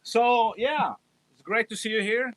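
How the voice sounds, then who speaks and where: Bright-voiced Italian guitarist, rambling. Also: male voice, very high-pitched, unhurried, low-quality recording. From Italy. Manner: rambling, self-mocking, chuckling. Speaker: guitarist, livestream co-host. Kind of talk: casual livestream chat.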